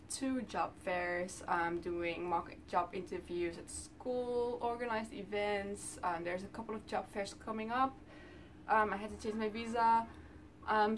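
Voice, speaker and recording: A woman's voice: fairly high pitch, fairly bright tone, recorded from a distance, a little hiss or hum. A woman in her twenties from the Netherlands with a Dutch accent, chatting casually to camera from her bedroom, with lots of ums while she talks with her hands. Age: 20s. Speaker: vlogger. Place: small bedroom indoors.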